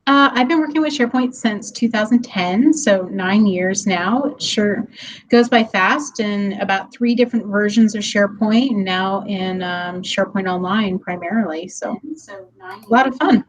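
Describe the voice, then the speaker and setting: A woman's voice: mid-range voice, moderate pace, low-quality recording. A woman speaking as guest on an online tech meetup, friendly and chatty, laughing easily and using plenty of ums. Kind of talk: webinar presentation. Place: office hallway.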